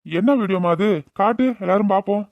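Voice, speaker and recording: A man's voice: high-pitched, fairly quick. A man voicing a stern village council headman in a comedy cartoon, with speech and an admonishing, colloquial manner. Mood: questioning.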